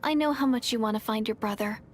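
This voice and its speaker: A woman voicing a gentle, wistful young female character in a scripted video game. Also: on the high side, fast, a little hiss or hum, natural voice. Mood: wistful, sincere. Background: game music.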